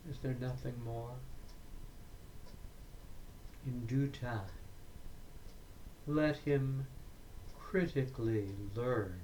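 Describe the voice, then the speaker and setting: A man reading a dramatic monologue in verse, in a deliberate, declamatory manner with frequent pauses. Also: mid-range voice, slight background noise, rough recording. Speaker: poetry reader. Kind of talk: dramatic monologue poetry recitation.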